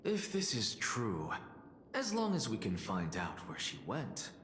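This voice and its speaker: A man voicing a self-assured, analytical scholar in a video game, deliberate and erudite in manner. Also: on the low side, huge pitch swings, a bit bright, slight background noise, full character voice. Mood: intrigued, analytical. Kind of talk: dramatic video game voice acting. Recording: echoey hall, faint music.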